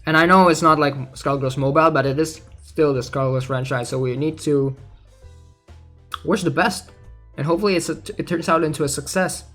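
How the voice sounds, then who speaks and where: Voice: mid-range voice, a little fast, fairly bright tone, faint music behind. A gaming content creator giving casual spoken commentary on game news, sometimes reading announcements aloud.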